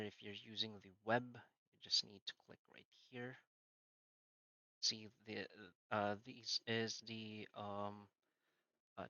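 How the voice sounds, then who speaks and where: A man talks through a software setup step by step, his lowish voice carrying a very bright, sharp edge. He leans on "so" and "uh" between plain instructions. The sound is dry and dull, like a modest lo-fi mic. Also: dry recording. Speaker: software tutorial narrator. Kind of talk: screen-recorded walkthrough narration.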